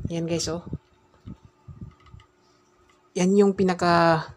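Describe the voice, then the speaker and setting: A man talks through a speaker review in a casual, chatty way, explaining each part step by step. He records indoors late at night, and a steady noise sits under his voice. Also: fairly high pitch. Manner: chatty, explains step by step, keeps saying "guys". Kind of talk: casual product review vlog.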